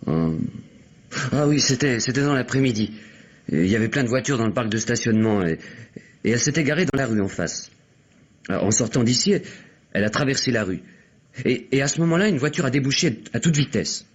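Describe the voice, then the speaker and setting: Husky, flustered grocer. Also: male voice, medium pitch, lively intonation, fairly quick. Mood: flustered, eager. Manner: hesitant, repeating words, colloquial. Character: flustered, chatty male grocer, eager witness. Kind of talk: French radio mystery play acting. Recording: dull, muffled vintage radio recording.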